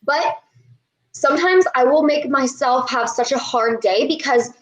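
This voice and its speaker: A woman's voice: sits high, unhurried. A young woman chatting casually and enthusiastically on a video call, rambling a little as she shares her goals. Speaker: co-host, maker. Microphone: webcam on a video call.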